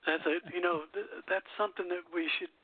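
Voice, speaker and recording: Higher-voiced male radio host, phone-like sound. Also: steady tone, a little fast, no echo. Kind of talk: casual hosting and sign-off. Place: call-in internet radio show over phone. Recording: tinny band-limited phone line.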